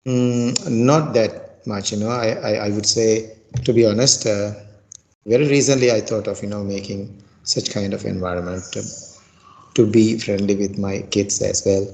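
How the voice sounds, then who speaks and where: Gravelly-voiced Sri Lankan man, hesitant. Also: on the low side, fairly even intonation, moderate pace, a little mellow, low-quality recording. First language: Sinhala. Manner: hesitant, many fillers and restarts. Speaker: English learner. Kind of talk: casual conversation practice.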